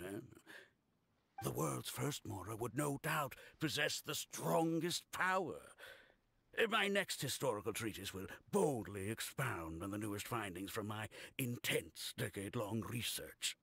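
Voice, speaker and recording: An actor playing a self-important, excitable male archaeologist in a game, declaiming in a pompous, indignant way. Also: mid-range voice, vocal fry, slightly rough, dry recording, full character voice. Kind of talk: English game voice acting.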